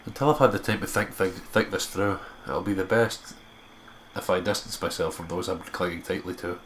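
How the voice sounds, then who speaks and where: A low-voiced man reads story narration from a visual novel with expression, slipping into casual asides. There is faint noise and ambience behind him. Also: normal speed, fairly bright tone. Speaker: let's player reading a visual novel aloud.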